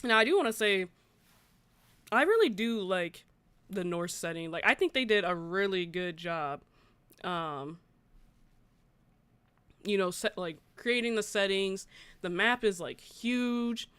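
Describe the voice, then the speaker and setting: A woman talks casually as a gaming livestream host, moving between reading out news and conversational remarks to her viewers. Her voice is smooth and clean at a medium pitch. The sound is dry, with very little room in it. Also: lively intonation, unhurried, pauses often, fairly bright tone, dry recording. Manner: informal, conversational, chatting with viewers. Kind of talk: casual livestream chat, reading articles aloud and reacting.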